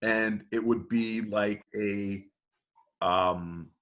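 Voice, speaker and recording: A man on a podcast panel casually pitching ideas, hesitant as he thinks aloud. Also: steady tone, drawn-out words, a bit bright.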